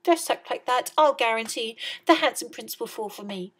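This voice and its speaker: A woman voicing a bratty, demanding young Cinderella in a shrill, whiny way for a storybook reading. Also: moderate pace, slightly rough.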